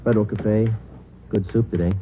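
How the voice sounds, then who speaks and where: A low, deadpan man's voice on a tinny, hissy old radio recording. A male actor plays a laconic police sergeant, clipped and matter-of-fact, in a vintage crime drama. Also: normal speed, close to an everyday voice. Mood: casual, dry. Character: laconic, businesslike police detective sergeant, narrating. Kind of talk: 1940s radio drama acting.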